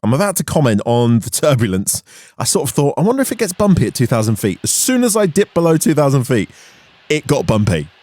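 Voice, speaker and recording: A man's voice: mid-range voice, big pitch swings, quick pace, a little mellow, no room sound. A man narrating an explanatory vlog as its host, in a voice-over style. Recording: music under the voice. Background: background music.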